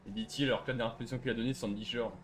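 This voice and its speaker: Fast-talking mocking man gamer. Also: mid-range voice, fairly even intonation, a bit bright, slight background noise. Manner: mocking, argumentative. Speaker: gamer trolling in online roleplay. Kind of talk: casual gameplay chat.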